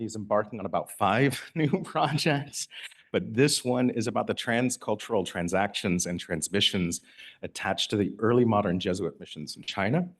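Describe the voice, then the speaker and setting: A man giving a formal introduction of a guest lecturer, lightly humorous. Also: fairly low pitch. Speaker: event host introducing the speaker.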